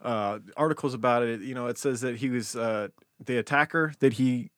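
Guy hosting a true crime podcast, casual chat, close dry mic, normal pitch. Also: normal speed, a bit bright, dry recording. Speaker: podcast host. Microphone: close podcast microphone.

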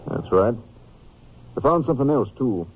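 An actor playing a grave, businesslike American Ranger captain in a 1950s radio drama, with a clipped, sober delivery. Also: male voice, mid-range voice, very animated, moderate pace, slightly rough. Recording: tinny narrow 1950s radio recording.

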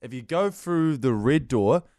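A man's voice: medium pitch, animated, unhurried, darkish tone, no echo, studio quality. A man with a New Zealand accent, a radio producer chatting casually, insistent and argumentative. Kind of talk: casual chat.

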